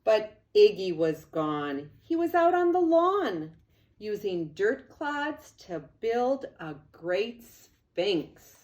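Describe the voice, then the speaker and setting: An older woman, a grandmother, reading a children's storybook aloud in an expressive, deliberate way. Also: medium pitch, dull audio.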